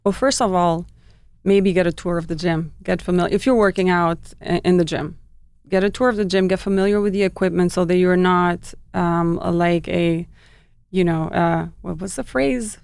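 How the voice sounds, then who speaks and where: A woman's voice: on the low side, steady tone, moderate pace, gravelly, clean tone, no room sound. A woman from Albania, a fitness trainer, talking casually in an interview with frequent laughs and restarts. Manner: chatty, warm laughs, many fillers and restarts.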